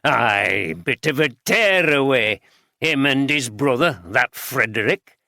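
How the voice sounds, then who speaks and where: A man voicing a blunt, gruff brewery guide in his sixties with a broad Yorkshire accent. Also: mid-range voice, lively intonation, slow pace, bright, forceful tone, dry recording, clean studio sound, heavily acted. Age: 60s.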